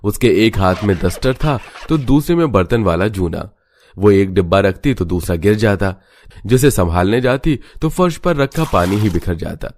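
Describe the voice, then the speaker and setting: Guy with a low voice narrating a scripted story. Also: lively intonation, moderate pace, darkish tone, slight background noise.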